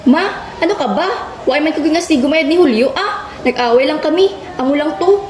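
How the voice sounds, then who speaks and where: A woman's voice: high voice, a little fast, a little mellow, slight background noise, close to an everyday voice. A woman playing a young battered wife, weary, pleading and defensive, in an amateur radio drama with a Western Visayan accent. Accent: Western Visayan Philippine. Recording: roomy echoing recording with music behind. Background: music.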